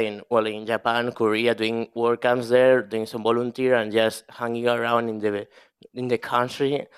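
A man's voice: mid-range voice, clean tone. A man giving an easygoing self-introduction to a group. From Barcelona, Spain. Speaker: participant introducing himself.

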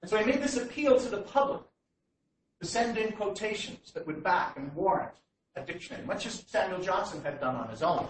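A man's voice: high-pitched, expressive, fairly quick, far from the mic. A Canadian man giving a public lecture without slides, talking hesitantly with many fillers and restarts. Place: large hall. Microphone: distant room mic. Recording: very echoey hall, heard from afar, faint noise.

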